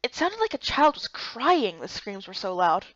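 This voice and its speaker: A woman giving expressive, dramatic first-person narration for a visual novel story. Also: mid-range voice, moderate pace, dry recording, dull audio. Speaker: narrator and voice actor.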